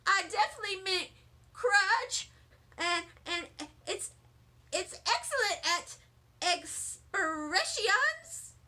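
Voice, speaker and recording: A woman's voice: very high-pitched, expressive, takes it slowly, bright, forceful tone, voice pushed up, exaggerated voice. A woman voicing a giggly, self-assured first-grade girl with an amused, muddled manner in a children's storybook. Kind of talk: children's storybook read-aloud.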